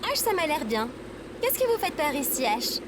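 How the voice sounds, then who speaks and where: A woman's voice: high-pitched, very animated, normal speed, very noisy. A woman playing an earnest, curious and naive female AI droid in an audio drama. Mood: eager, curious.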